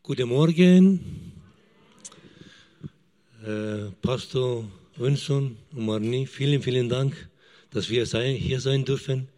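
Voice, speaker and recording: A man in his fifties, a missionary giving a halting testimony as a church guest and often searching for words. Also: mid-range voice, warm tone. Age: 50s.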